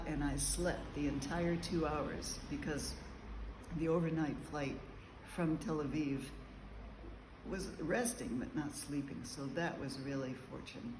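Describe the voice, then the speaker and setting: A man's voice: on the high side, noisy background. Chatty man in an echoey room. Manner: meandering, chatty, frequent ums and pauses. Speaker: travel vlogger. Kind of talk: casual travel diary to camera. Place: large room with high ceilings, indoors. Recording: echoey room, faint background ambience.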